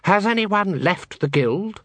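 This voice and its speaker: Man playing a curt, stern guild master, raised pitch. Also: expressive, slow, fairly bright tone, dry recording, full character voice. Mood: snapping, commanding. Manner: curt, commanding. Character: stern, snappish senior assassin doctor, guild leader.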